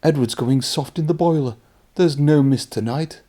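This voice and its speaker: A man's voice: on the high side, moderate pace, dark tone, voice pushed up, natural voice. A man voicing a proud, grumbling but nervous steam engine in a storybook, with a huffy, blustering manner.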